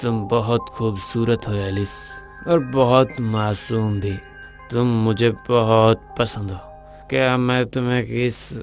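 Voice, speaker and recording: An actor playing an arrogant, short-tempered, brooding company boss in a Hindi audio romance drama. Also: male voice, mid-range voice, fairly even intonation, slow, faint music behind. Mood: drunk tenderness.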